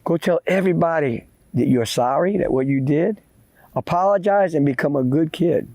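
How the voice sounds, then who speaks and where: A man's voice: fairly high pitch, normal speed, dark tone, no room sound. Man voicing a menacing eyeless old spirit man. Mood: stern warning.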